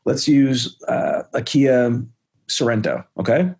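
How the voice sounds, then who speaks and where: A man's voice: medium pitch, sing-song, slow pace, vocal fry, a little mellow. A man hosting a sales podcast, talking with energy and enthusiasm, praising and setting up role plays. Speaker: podcast host, sales trainer.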